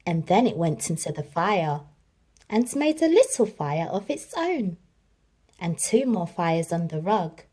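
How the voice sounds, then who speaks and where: A woman reading a children's story aloud in an expressive, dramatic storytelling manner. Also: lowish voice, measured pace, breathy edge. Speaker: storybook reader.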